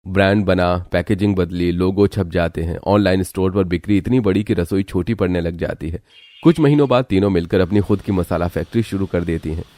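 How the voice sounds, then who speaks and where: A man narrates a scripted story in a low, dark-toned voice. The recording is close and dry, with the delivery of a storyteller. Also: fairly quick, no room sound.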